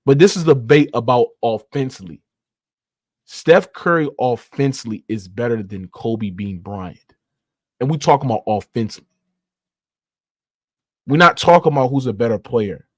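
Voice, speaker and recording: A man's voice: medium pitch, expressive, moderate pace, long pauses, no room sound. Insistent man arguing sports, dry sound. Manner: insistent, argumentative, repeats points, swears freely. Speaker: sports debate show host. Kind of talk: animated sports debate, slangy casual talk.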